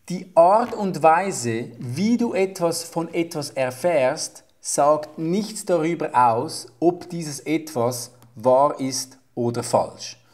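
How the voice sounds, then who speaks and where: A man's voice: a little high, a little mellow. A young man, explaining his reasoning as a podcast guest, often restarting and searching for words.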